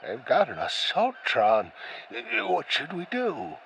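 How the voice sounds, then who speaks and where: A man as a gravelly, hesitant pirate sentry. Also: fairly high pitch, huge pitch swings, slow pace, fairly bright tone, muffled recording, slight background noise, heavily acted. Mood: nervous. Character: nervous pirate sentry, easily startled man.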